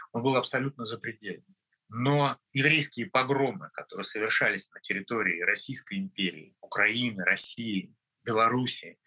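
A middle-aged man from Russia, a guest in an interview, speaking earnestly and emphatically in short, measured phrases. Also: fairly low pitch, bright, forceful tone, rough recording.

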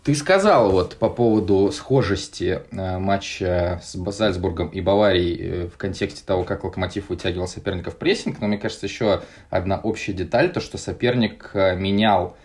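A man's voice: low voice, normal speed, few pauses, darkish tone. A man hosting a football podcast, talking casually through match analysis with frequent hesitations. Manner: hesitant, many "э" fillers. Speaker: podcast host.

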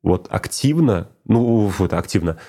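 A man's voice: fairly low pitch, animated, unhurried, creaky, warm tone. A man talking casually and reflectively, often repeating phrases for emphasis. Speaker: teacher of speech exercises.

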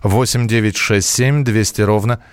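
A man's voice: fairly low pitch, fairly even intonation, moderate pace, clean tone. A man co-hosting a live radio quiz in a chatty way, carefully reading out numbers digit by digit. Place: close dry setup for a home radio broadcast.

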